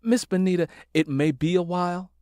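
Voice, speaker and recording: Man voicing an outspoken daughter, pitched up. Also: fairly even intonation, brisk, a little mellow, no echo, studio quality, natural voice. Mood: polite, firm. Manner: emphatic, questioning, direct. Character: outspoken, protective grown daughter of a preacher.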